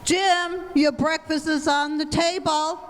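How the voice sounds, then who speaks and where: A woman playing a patient, soothing wife and mother in an old-time radio family comedy, placating and gently firm. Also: a little high, lively intonation, normal speed, slight background noise, natural voice. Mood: briskly soothing. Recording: reverberant hall stage recording.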